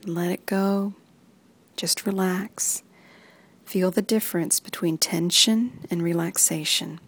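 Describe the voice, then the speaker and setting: A woman reading a guided muscle relaxation script in soft, even instructions that often sink into a whisper. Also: on the low side, takes it slowly, slightly rough.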